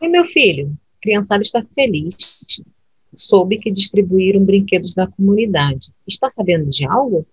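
An actress playing a curious mother in an audio drama, with a husky voice. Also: mid-range voice, big pitch swings, moderate pace, dry recording, dull audio. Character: curious mother of a grown son.

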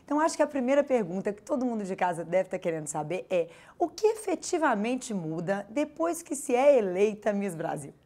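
A woman's voice: mid-range voice, very animated, fairly quick, few pauses, dark tone. A young woman hosting a TV interview program, asking upbeat leading questions into a handheld mic. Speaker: host. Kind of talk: TV interview and presenting.

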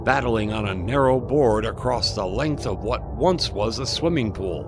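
Dramatic space serial announcer, bombastic, lively swooping delivery, old-time radio style, music behind. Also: male voice, fairly low pitch. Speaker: announcer and narrator. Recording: music under the voice.

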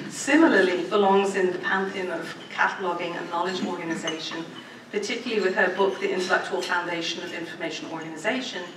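Bright-voiced woman giving a roomy podium speech. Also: medium pitch. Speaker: academic speaker at a department anniversary event. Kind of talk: formal prepared speech with informal asides. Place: medium-sized indoor lecture room with audience. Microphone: gooseneck podium microphone. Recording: roomy, distant microphone, faint background noise.

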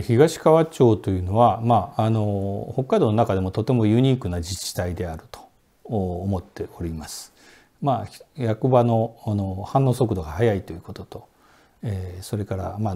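A man in his sixties speaks in a low, dark and somewhat husky voice. He explains at an easy pace and pauses on fillers as he answers interview questions. He is recorded seated indoors in a quiet room. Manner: relaxed and explanatory, many fillers. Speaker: sake brewery director. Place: indoor Japanese room with sliding doors.

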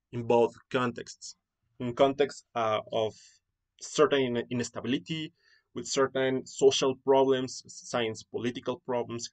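A man from Mexico with a Mexican Spanish accent, hosting a live online presentation as founder of an academy, earnest and repetitive with many fillers and rephrasings. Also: mid-range voice.

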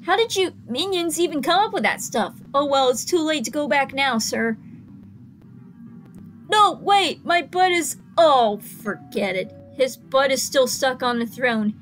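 High-pitched, very animated young woman. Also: a little slow. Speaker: reaction video host. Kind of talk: reading out captions and commenting on a video. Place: small indoor room. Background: music from the video being watched.

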